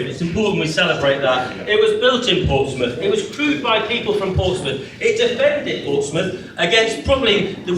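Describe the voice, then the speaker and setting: Male museum spokesperson, emphatic speech, recorded far off in a noisy echoing hall. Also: sits high. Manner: proud, emphatic repetition. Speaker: museum spokesperson at a launch event. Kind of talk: formal speech. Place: crowded hall at an evening event. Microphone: recorder held toward the back of the room. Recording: echoey hall from far off, crowd noise.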